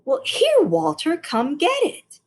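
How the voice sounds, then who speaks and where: A woman's voice: very high voice, huge pitch swings, slow, a little mellow, pitched above the performer's own voice, exaggerated voice. A woman voicing a prim, impatient female schoolteacher in a storybook.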